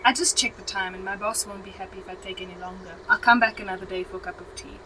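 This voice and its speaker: A woman's voice: medium pitch, steady tone, fast, recorded from a distance, noisy background, lightly acted. A young woman playing a polite, hesitant cleaner and parent in an amateur radio drama. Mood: polite, awkward. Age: teenager.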